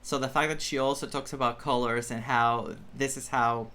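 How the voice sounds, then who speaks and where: A person giving casual game playthrough commentary as a man, thinking aloud in a halting, musing way. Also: medium pitch, fairly even intonation, sharp tone, slight background noise. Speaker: game streamer playing and reacting. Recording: game music behind.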